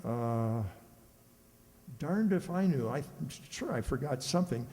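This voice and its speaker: A man's voice: medium pitch, lively intonation, measured pace, warm tone, close to an everyday voice. An amateur actor playing a boastful, girl-crazy, teasing bachelor pal in an old-time radio comedy. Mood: breezy, smitten. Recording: echoey hall with long reverb.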